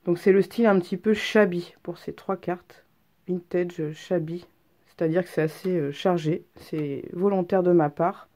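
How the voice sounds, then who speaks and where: A woman's voice: lowish voice, fairly even intonation, moderate pace, warm tone. A woman with a head cold, presenting handmade cards in a chatty, hesitant way full of ums. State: has a head cold, stuffy. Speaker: craft vlogger. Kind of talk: casual craft show-and-tell.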